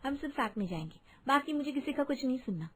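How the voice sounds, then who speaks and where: A confident young daughter-in-law acted by a woman in a cartoon family drama. She has a high voice and a modern, outspoken temper. She talks to relatives frankly and with assurance. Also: animated, quick pace, a bit bright, husky, full character voice. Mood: cheerful eager. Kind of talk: Hindi moral-story cartoon voice acting.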